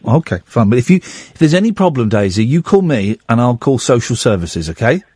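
A man in his forties hosting a radio phone-in, chatting casually and teasing a young caller in a deadpan way. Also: medium pitch, fairly quick, breathy edge, dry recording. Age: 40s.

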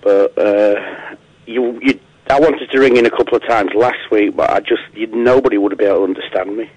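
A man's voice: on the low side, fairly quick, a little mellow, no echo, highs cut off. Scratchy-voiced Northern English man calling in. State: hoarse after a cold. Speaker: phone-in caller. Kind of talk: casual chat.

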